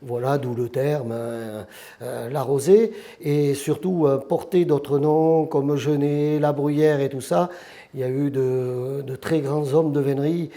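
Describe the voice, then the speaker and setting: A man working as a kennel huntsman, answering in a documentary interview in a halting way with fillers. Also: medium pitch, steady tone, warm tone.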